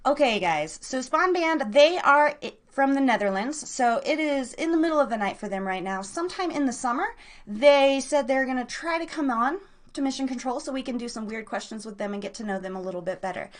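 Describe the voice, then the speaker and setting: A woman's voice: sits high, lively intonation, brisk. A woman hosting a live online radio show, chatting casually in an informal, slangy, rambling way straight to her listeners.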